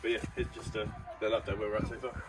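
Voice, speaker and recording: A man's voice: lowish voice, little pitch movement, very fast, loud music behind the voice. A man explaining a garden build step by step, recorded outdoors on a phone. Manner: chatty, explaining each step. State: congested, stuffy nose. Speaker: DIY vlogger. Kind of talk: casual building update. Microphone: phone camera.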